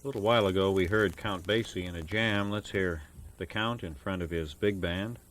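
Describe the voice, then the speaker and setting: A man working as a radio DJ, introducing jazz records in a measured, formal announcing style with careful enunciation of names and dates. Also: deep voice, a bit bright, slight background noise. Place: radio studio. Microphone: broadcast studio microphone.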